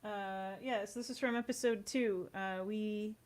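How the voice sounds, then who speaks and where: A woman's voice: medium pitch, fairly even intonation, unhurried. A woman talking casually as a guest on a podcast interview. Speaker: podcast guest, audio comedy co-creator. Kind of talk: casual chat.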